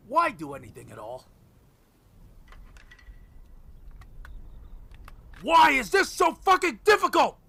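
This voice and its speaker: A man playing a bitter, desperate young man on the run in an audio drama, talking roughly and swearing. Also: very high-pitched, wildly swooping pitch, fairly quick, pushed and bright, heavily acted. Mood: frustrated. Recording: music behind the voice.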